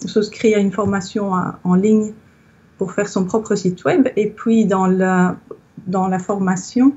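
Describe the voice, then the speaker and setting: A woman's voice: medium pitch, dark tone, dull audio. A woman in her thirties from Belgium, giving a reflective interview testimonial and searching for her words as she speaks. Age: 30s. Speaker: interviewee, yoga teacher. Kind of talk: recorded testimonial conversation.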